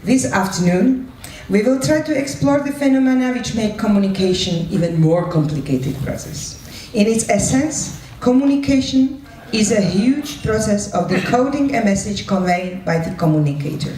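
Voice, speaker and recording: A woman's voice: mid-range voice, animated, normal speed, dark tone. A woman presenting live as a moderator, explaining in a didactic way and checking in with short questions. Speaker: presenter and moderator of a participatory one-act play. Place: echoing room at a live event. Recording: roomy, distant mic with faint noise.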